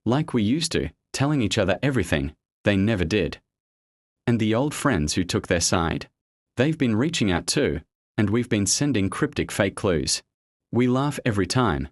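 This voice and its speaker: Guy reading a story off the internet, lowish voice, lots of up and down, dry close sound. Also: no room sound. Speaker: narrator. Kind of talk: scripted narration of a first-person online story.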